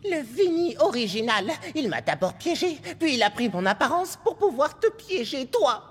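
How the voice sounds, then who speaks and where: A woman's voice: high voice, sing-song, moderate pace. A woman playing a cautious, brainy young microbe, an earnest best friend in a cartoon dub who words things precisely. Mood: matter-of-fact. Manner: precise, formal wording.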